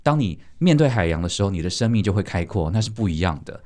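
A man's voice: deep voice, big pitch swings, quick pace, darkish tone. A man with a Taiwanese Mandarin accent talking casually and reflectively as a podcast host. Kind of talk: casual conversational talk.

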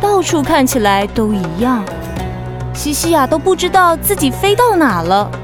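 An actress playing a gentle storybook narrator, reading scripted narration for a children's audio drama. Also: female voice, sits high, very animated, moderate pace, music in the background. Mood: storytelling.

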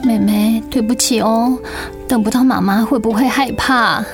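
Casting: actress as weary, stubbornly independent young mother, Taiwanese Mandarin, radio play, clearly audible music underneath. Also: fairly high pitch, fairly even intonation, normal speed, lightly acted. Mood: weak, apologetic. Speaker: voice actor in a radio drama. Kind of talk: dramatic radio play acting.

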